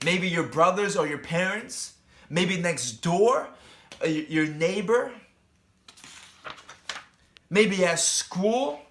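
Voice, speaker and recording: A man's voice: high-pitched, expressive, takes it slowly, pauses often, a bit bright. A man who teaches, reading a picture book aloud to young children, with chatty asides and questions put straight to them. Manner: addresses young children directly, asking questions.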